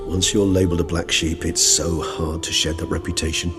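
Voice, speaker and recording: A man playing an erudite, courteous older scholar in a video game, eloquent and measured, with a dry wit. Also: low voice, level tone, close to an everyday voice. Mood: wry. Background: music.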